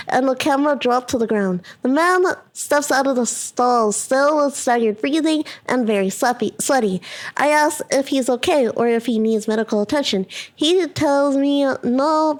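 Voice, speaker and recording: A young woman streaming as a VTuber, giggling and reading stories aloud between crude riffs. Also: high voice. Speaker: VTuber reacting to a video. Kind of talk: casual reaction commentary, reading posts aloud.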